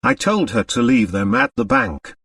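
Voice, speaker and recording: Guy doing an angry rich dad, gruff and blunt, voice jumps about, very dry recording. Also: mid-range voice, wildly swooping pitch, normal speed, a bit bright, no echo, distant mic, heavily acted. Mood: shocked. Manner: blunt, barking, muttering. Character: gruff, forceful wealthy father, angry and shaken.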